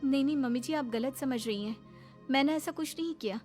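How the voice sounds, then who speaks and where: A woman voicing a meek, anxious young widow in a cartoon, with a soft, pleading manner. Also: high-pitched, a little fast, soft background music, pitched above the performer's own voice, close to an everyday voice.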